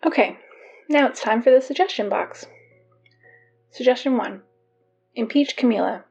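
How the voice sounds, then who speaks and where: A woman's voice: on the high side, normal speed, creaky, darkish tone, breathy edge. A woman playing an exasperated school announcer in a fiction podcast, reading notices with dry, wry asides. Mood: deadpan, businesslike. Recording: dull lo-fi PA sound, music behind.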